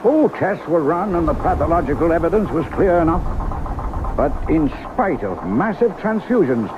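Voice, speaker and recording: An actor playing an aging, world-weary small-town doctor with a folksy, conversational manner in an old-time radio drama. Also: male voice, a little high, big pitch swings, normal speed. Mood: weary, troubled. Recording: dull, noisy old radio recording.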